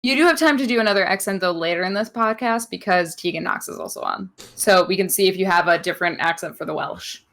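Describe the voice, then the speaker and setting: A woman's voice: lowish voice, fast, few pauses, a bit bright. A woman co-hosting a wrestling podcast in casual, sarcastic chat, teasing her co-host and laughing often.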